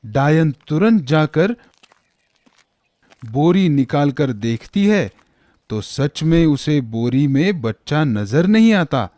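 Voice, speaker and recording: Slow-paced male storybook narrator. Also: fairly high pitch, very animated, dark tone, no echo, clean studio sound. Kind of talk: scripted children's story narration.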